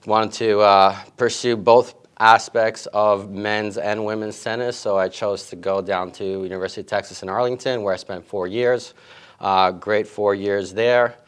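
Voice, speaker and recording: A middle-aged man, a head tennis coach, answering interview questions in a relaxed way with many fillers. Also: fairly low pitch, normal speed, clear, resonant tone. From grew up in Italy and Queens, New York.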